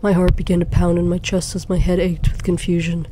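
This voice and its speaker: A woman narrating a suspenseful first-person story in a dramatic, deliberate way. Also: lowish voice, level tone. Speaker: fan fiction narrator. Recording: faint background noise.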